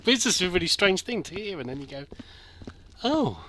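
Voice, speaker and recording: A middle-aged British man with a soft accent, telling a rambling, self-deprecating story over gameplay, full of stops and restarts. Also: high-pitched, big pitch swings, fairly quick, slight background noise. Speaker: gameplay commentator.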